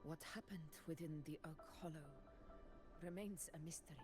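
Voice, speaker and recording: An actress playing a proud, formidable warrior ruler in a video game, speaking in a stately, measured way. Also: female voice, low-pitched, close to an everyday voice. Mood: grave, sorrowful. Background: loud game music.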